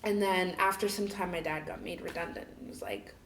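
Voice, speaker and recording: A woman from Cameroon who grew up in the UAE, a guest telling her life story in a casual, chatty way, full of "like" and "you know" and quick laughs. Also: fairly low pitch, sing-song, a bit bright, a little hiss or hum. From Cameroon, raised in the UAE. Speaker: interview guest. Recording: echoey room.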